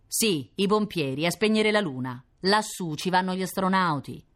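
A woman voicing an excitable, bossy schoolboy, a know-it-all older brother, in a storybook reading. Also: lowish voice, normal speed, pitched above the performer's own voice, natural voice. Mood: excited.

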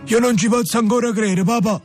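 An actor playing a naive, whiny young son of a crime family in a comic radio drama. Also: male voice, high voice, quick pace, faint music behind, natural voice.

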